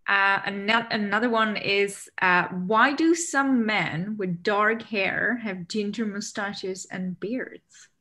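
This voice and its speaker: Woman co-host, Czech native speaker, mid-pitched, measured question reader. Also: bright, forceful tone. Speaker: co-host reading audience questions. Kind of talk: casual video call. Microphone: video call.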